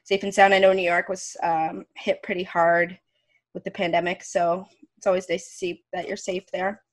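A woman's voice: fairly low pitch, level tone, normal speed. A woman hosting a podcast interview over a headset from home, friendly and hesitant with many ums. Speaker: podcast host. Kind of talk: casual interview host. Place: room at home.

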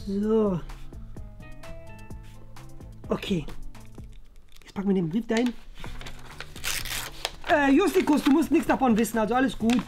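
Woman doing a high-voiced, cheeky schoolboy prankster. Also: animated, measured pace, pauses often, a little mellow, faint music behind. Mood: scheming. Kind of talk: exaggerated children's comedy skit.

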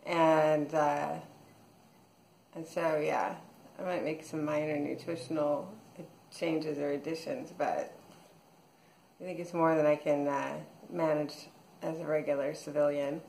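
A woman in her thirties talks through her day in a relaxed video diary, drifting from thought to thought with plenty of ums and restarts. Her voice sits a little low, and her pace is slow and unhurried. The small home room around her sounds echoey. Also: vocal fry, slight background noise. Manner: rambling, many fillers and restarts. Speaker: vlogger. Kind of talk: casual daily video diary.

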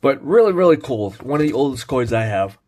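A man's voice: medium pitch, a little fast. Brief: man, stuffed-up from a cold, laid-back home vlogger talking through his coins, lots of ums. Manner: relaxed, rambling, frequent fillers. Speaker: coin collecting vlogger. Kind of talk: casual show-and-tell narration.

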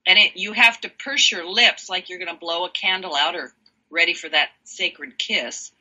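A woman with a self-described low voice, talking slowly and expressively as an interview guest, laughing often. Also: highs cut off. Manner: animated, laughs often, slow emphatic phrasing. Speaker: guest, sound healer. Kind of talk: warm expressive interview talk.

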